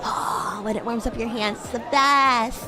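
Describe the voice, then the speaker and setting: Woman playing a high-pitched bratty demon schoolgirl. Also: fairly even intonation, measured pace, crowd noise, background noise, natural voice. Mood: cheerful. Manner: teasing, coy, defensive, stammering when flustered. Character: bratty, cute, flustered young female demon classmate. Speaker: VTuber streamer. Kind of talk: improvised VTuber roleplay, casual chat with viewers.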